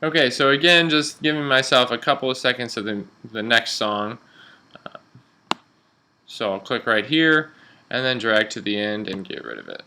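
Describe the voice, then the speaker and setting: A man working as a radio instructor, explaining software step by step in an informal, instructional way. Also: mid-range voice, sing-song, moderate pace, slight background noise.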